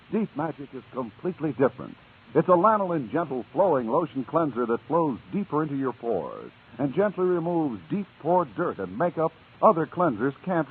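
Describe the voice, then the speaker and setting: A man's voice: fairly high pitch, husky. An American man reading a scripted mid-century radio advertisement with a polished, emphatic sales delivery. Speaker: radio commercial announcer. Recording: tinny, muffled old radio recording.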